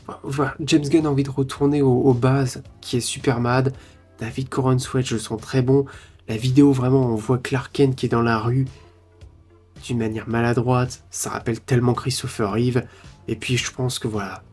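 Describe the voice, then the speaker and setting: Excited rambling man reacting to trailers. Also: mid-range voice. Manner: excited and rambling, with many fillers and restarts. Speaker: channel host reacting to trailers.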